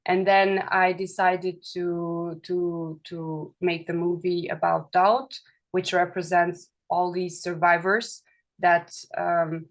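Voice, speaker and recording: Young woman, from Kosovo, film director, hesitant, lowish voice, level delivery. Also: level tone, slow. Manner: hesitant, many fillers and restarts. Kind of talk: festival interview.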